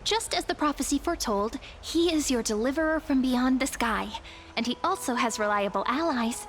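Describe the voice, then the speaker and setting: A woman playing a gentle, deferential attendant with a measured, formal manner in a dramatic video game. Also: high-pitched, expressive. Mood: poised, courteous. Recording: music behind the voice.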